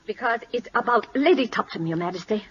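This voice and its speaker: An actress playing an earnest, outspoken schoolteacher at a royal court, with precise, emotional diction in a 1940s radio style. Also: female voice, a little high, expressive, a little fast, fairly bright tone, a little hiss or hum. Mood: impassioned, indignant. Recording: dull, lo-fi old radio recording.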